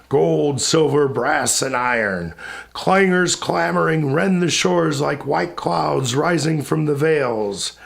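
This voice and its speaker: A man giving a solemn, dramatic recitation of epic poetry in a grave, declamatory manner with deliberate pauses between phrases. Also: medium pitch, creaky, a bit bright.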